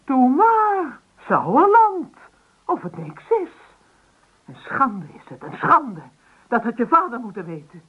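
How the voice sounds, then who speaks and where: A woman's voice: high-pitched, wildly swooping pitch, slow pace, breathy edge, full character voice. An actress playing a scornful, worried middle-aged mother who scolds and exclaims in a Dutch radio play. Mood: outraged, scolding. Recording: tinny old radio recording.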